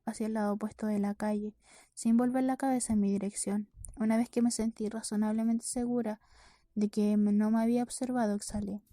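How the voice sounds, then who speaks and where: A woman narrates a novel in the first person, reading from the text. Her voice is fairly high and very mellow, with little melodic movement. She now and then trips on a word and corrects herself as she reads. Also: no room sound, clean studio sound. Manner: reads with occasional stumbles and self-corrections. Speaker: audiobook reader.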